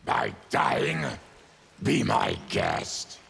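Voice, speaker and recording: A proud, bitter mutant warrior from a fighting game. The voice is a heavily gravelled snarl, sharp and forceful, swinging widely in pitch. Every line is deliberate and threatening, with faint noise behind it. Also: male voice, huge pitch swings, heavily acted. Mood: vengeful. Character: savage diseased mutant warrior, proud bitter former soldier. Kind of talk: video game fighting character dialogue.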